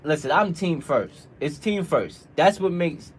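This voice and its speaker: A man's voice: fairly high pitch, expressive, a little slow, slightly rough. Emphatic man debating sports. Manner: emphatic, repeats points, rhetorical questions. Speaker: radio show host.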